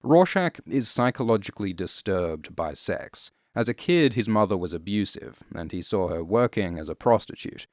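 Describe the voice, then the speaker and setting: A man delivers scripted explanatory narration in a low-pitched voice. His manner is deadpan and wry, slipping in casual asides. The recording is close and dry, with no room sound. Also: moderate pace, hardly any pauses, no room sound. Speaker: host and narrator of explainer videos.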